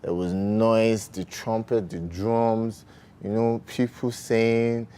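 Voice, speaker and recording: A man with a Nigerian accent, chatting casually as a guest on a sports talk show, relaxed and full of fillers. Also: fairly low pitch, slow pace, clean tone. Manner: relaxed, uses fillers like "you know" and "man". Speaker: studio guest. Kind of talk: casual chat.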